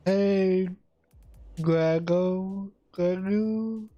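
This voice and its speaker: A woman chatting casually on a game livestream in a halting, drawn-out way that often trails off. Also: mid-range voice, steady tone, dull audio, soft background music. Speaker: game streamer.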